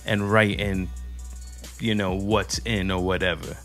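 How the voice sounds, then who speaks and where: Slow-talking easygoing male podcast host. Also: low-pitched, flat delivery, a bit bright, soft background music. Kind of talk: casual podcast chat.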